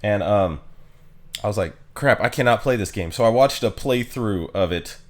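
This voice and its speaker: A man chatting casually as a game streamer, informal and halting as he thinks aloud. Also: lowish voice, animated. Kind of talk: casual livestream chat.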